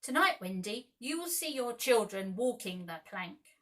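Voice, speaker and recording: A woman's voice: a little high, big pitch swings, measured pace, a bit bright. A woman voicing a wicked, gloating male pirate captain in a storybook, commanding and menacing. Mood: gloating menace. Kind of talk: storybook villain voice.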